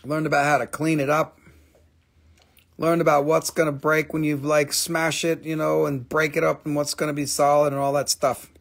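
A middle-aged man with a gravelly, slightly higher voice talks fast and excitedly on a live sales stream. He exclaims, swears casually and chats loosely, recorded close up at a table indoors. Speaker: live-stream gem seller.

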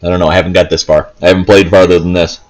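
Low-voiced man, casual rambling gameplay commentary. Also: little pitch movement, rapid-fire. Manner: hesitant, rambling, unscripted. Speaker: gameplay video host. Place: indoors, in a room while playing a handheld console.

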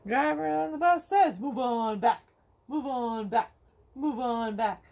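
A middle-aged woman reading a children's picture book aloud to kids at home, slowly singing the verses in a sing-song way. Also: fairly high pitch, gravelly, darkish tone. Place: home living room, indoors.

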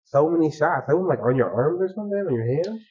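Host: relaxed man, allergy-stuffy, muffled lo-fi living-room sound. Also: a little high, quick pace. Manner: relaxed, lots of fillers, soft-spoken. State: congested from allergies. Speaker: podcast host. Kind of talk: casual interview. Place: living room, sitting on a couch.

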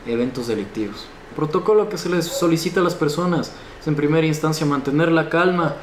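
A man's voice: on the high side, a little fast. A young man in his twenties with an Ecuadorian accent gives a formal, stiff official police statement for local news. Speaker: police chief, spokesman. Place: small indoor office, seated. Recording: echoey room with background noise.

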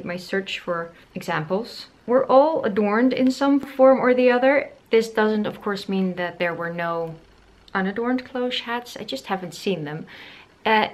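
A woman in her thirties chatting to the camera from her living room as she shows a craft project, wondering aloud and poking fun at her own skills. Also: mid-range voice. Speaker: sewing vlogger. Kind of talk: casual tutorial talk to camera.

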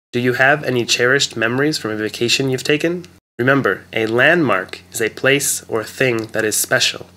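A man narrating an English lesson, explaining words carefully and clearly. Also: mid-range voice, sharp tone. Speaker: English teacher. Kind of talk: slow scripted lesson narration.

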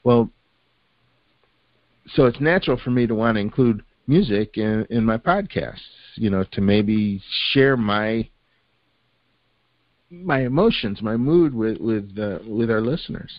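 An older man with a lowish voice hosts a podcast, talking loosely and filling gaps with "uh" and "you know". The recording is close and dry with a dull, slightly muffled top. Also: measured pace, long pauses, no echo. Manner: relaxed, full of fillers like "you know" and "uh". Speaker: podcast host. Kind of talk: casual chat.

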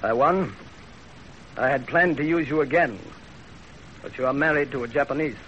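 A stern Chinese general acted by a man in a 1930s radio play, mid-pitched and deliberate. He probes and commands with authority, on a dull recording with faint hiss. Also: a bit bright, close to an everyday voice. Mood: stern, commanding. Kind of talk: 1930s radio drama acting.

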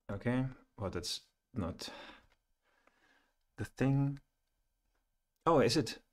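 Low-pitched man thinking aloud while coding. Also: vocal fry, husky. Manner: halting, muttering to himself. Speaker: programmer presenting a coding screencast. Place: small room indoors at home, at a computer. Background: keyboard typing and clicking.

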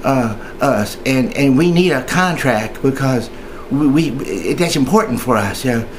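A man's voice: medium pitch, animated, measured pace. A man with a mid-range voice gives an informal, chatty how-to talk as a union member. He rambles in a folksy way, hesitating and repeating himself. It sounds like an amateur recording made at home, with noticeable noise in the background. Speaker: union member giving tips. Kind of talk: informal training video, chatty monologue.

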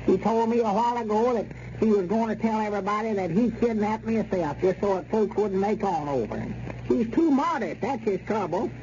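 Dull vintage radio comedy: excitable old Southern rustic, high-pitched, folksy, insistent. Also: male voice, a little fast, a little hiss or hum. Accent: American rural South. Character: excitable, pleading old small-town rustic man. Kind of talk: 1930s radio comedy acting.

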